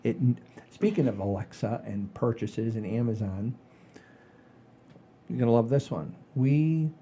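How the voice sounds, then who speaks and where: Mellow, rambling middle-aged male show host. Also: medium pitch, a little slow, slight background noise. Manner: rambling, animated storyteller with frequent swearing. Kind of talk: casual chat, storytelling.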